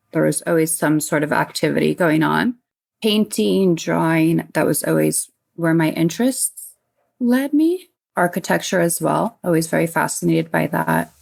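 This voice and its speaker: A woman's voice: low-pitched, vocal fry, darkish tone. A woman from Northern California talking casually about herself as an interview guest. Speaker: interview guest, renovation business partner.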